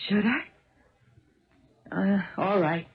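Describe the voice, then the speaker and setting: A woman's voice: mid-range voice, slow pace. An actress playing an anxious, loyal policeman's wife with an earnest, hesitant delivery in a 1970s radio drama. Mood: worried. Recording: muffled, tinny old radio broadcast recording.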